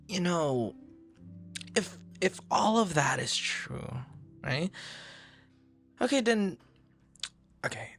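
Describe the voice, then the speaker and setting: A man performing an ASMR roleplay as an earnest, easily flustered young stranger, talking conversationally with hesitant repeats and hushed asides. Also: medium pitch, vocal fry, heavily acted. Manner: hesitant, halting, soft-spoken asides, repeats words.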